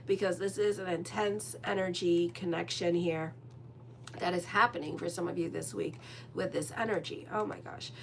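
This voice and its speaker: A woman's voice: lowish voice, steady tone, moderate pace, slight background noise. A woman doing a casual tarot horoscope reading as an astrologer, rambling and chatty with lots of fillers and a direct manner. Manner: frank and direct with listeners.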